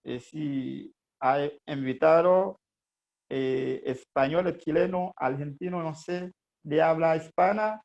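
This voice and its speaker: Haitian man, gravelly voice, pitched high. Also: slow pace, a little mellow, dull audio. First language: Haitian Creole. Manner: earnest, hesitant with frequent fillers. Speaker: network marketing presenter. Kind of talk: motivational business presentation.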